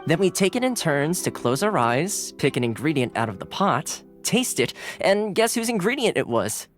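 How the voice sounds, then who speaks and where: An easygoing young male retainer from a video game, breezy and playful. His voice is light and swings expressively in pitch, with music behind it. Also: very animated, normal speed. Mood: playfully enthusiastic. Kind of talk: animated video game voice acting. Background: background music.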